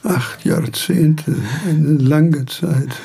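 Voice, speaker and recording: An actor playing a frail, confused old philosopher, halting and repeating himself in a radio drama. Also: male voice, a little high, vocal fry, warm tone, breathy edge, exaggerated voice. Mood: weary. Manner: halting, repeating words, agitated.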